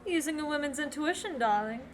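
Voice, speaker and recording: A woman's voice: high-pitched, expressive, a little fast, slight background noise. Winifred, played by a teen girl, an airy, echoing seer. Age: teens. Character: mysterious, affected young seer woman. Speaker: student actor. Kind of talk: amateur radio play acting. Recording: echoey room with long reverb.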